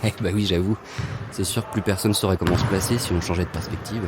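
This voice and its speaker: A man's voice: low voice, fairly even intonation, fast, heavy background noise, close to an everyday voice. A man playing a fed-up but hopeful athlete in a French audio drama, colloquial and insistent. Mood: casual, exasperated. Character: Raph, fed-up, hopeful athlete dreaming of escape. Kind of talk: naturalistic French audio fiction acting.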